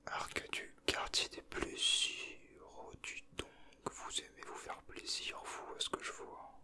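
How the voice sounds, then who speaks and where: A man giving casual, teasing ASMR commentary in a breathy whisper, close to the microphone. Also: a little slow, bright, forceful tone. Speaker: ASMR video creator.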